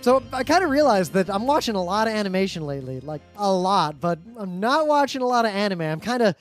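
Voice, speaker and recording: A man's voice: sits high, sing-song, quick pace, no echo, faint music behind. A man co-hosting a podcast in casual geeky chat, explaining things in a rambling, enthusiastic way. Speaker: podcast co-host.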